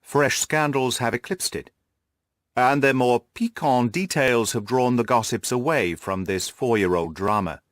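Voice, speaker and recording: A man reading literary first-person narration for an audiobook in a measured, formal storytelling manner. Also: medium pitch, fairly bright tone, no echo, clean studio sound.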